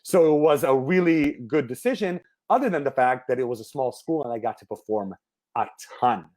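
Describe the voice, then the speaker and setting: A man's voice: mid-range voice, animated, a little fast, darkish tone. A man, a guest singer telling his life story in a casual, candid, rambling interview. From Israel. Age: 30s.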